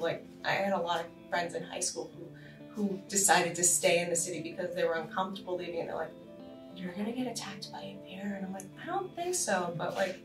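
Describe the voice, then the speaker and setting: A young woman from Boston on a casual podcast, animated and laughing often as she talks. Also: on the low side. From Boston, USA. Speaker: podcast co-host. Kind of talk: casual chat. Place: conference room, indoors. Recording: roomy sound from a distant mic.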